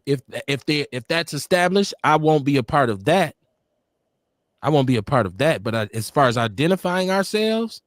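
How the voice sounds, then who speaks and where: A man's voice: on the high side, dry recording, studio quality. Rambling, laughing man on a livestream. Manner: rambling, self-correcting storyteller with a loose, laughing delivery. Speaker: podcast co-host. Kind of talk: casual chat. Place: home studio, livestream.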